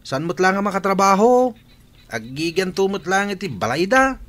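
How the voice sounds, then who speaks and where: A man's voice: sits high, big pitch swings, normal speed, a bit bright. Man playing an insolent, whiny schoolboy. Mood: mocking, flippant. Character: insolent, demanding, mocking schoolboy older cousin. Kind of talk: radio drama acting.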